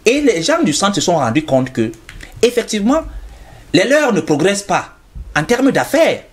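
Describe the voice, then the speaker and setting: Animated, insistent man. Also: sits high, normal speed. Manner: insistent, repeats himself to hold the floor. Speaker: studio guest commentator. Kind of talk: television debate.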